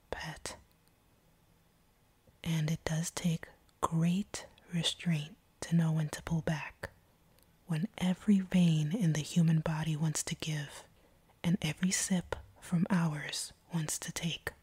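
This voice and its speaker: Woman voicing a female vampire, husky breathy whisper, slow predatory teasing, seductive, sadistic edge, intimate audio roleplay, close mic. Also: low-pitched, mellow, no room sound, exaggerated voice. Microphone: close microphone, dry.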